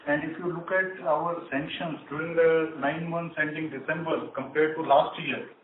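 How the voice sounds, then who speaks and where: A man speaking as a bank executive, giving measured, matter-of-fact answers on an earnings call. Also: a little high, steady tone. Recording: distant echoey room, noisy phone line.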